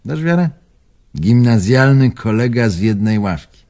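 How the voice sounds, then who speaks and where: An actor playing an old Warsaw tavern owner and spirited war survivor in a radio drama, earthy and raspy, reminiscing in clipped phrases. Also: male voice, mid-range voice, lively intonation, measured pace, darkish tone. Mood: nostalgic. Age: older. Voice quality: gravelly, raspy.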